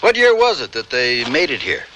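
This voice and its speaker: Breezy, animated western newspaperman. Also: medium pitch, moderate pace, slight background noise. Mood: curious, reassuring. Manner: folksy, glib, wry. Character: breezy, nosy, wry frontier town newspaper reporter. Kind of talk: old-time radio western acting.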